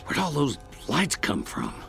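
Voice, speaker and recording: An actor playing a hardened Old West killer in a radio drama, gravelly, with rough, scornful cowboy speech. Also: male voice, fairly high pitch, wildly swooping pitch, unhurried, pushed and bright, exaggerated voice. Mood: alarmed. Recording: music under the voice.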